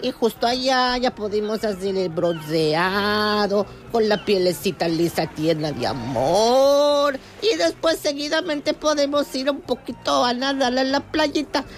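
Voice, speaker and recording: A sugary, fussy beach coach from the Colombian coast, played by a woman in a comic radio sketch. She chatters in a Colombian accent, softening every word with diminutives, her voice pitched a touch high. Faint noise sits under her voice. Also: expressive, a little slow, hardly any pauses. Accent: Colombian Spanish, put on. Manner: sweet, fussy, full of diminutives. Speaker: comedy guest character.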